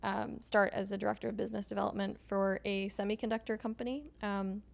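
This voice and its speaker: A young woman from Sweden, speaking as a guest in a relaxed interview. Her voice has a gravelly, fry-edged texture at a middle pitch. She talks hesitantly, with plenty of ums and likes and frequent soft laughs. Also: fast talker. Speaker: podcast guest. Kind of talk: casual conversational interview.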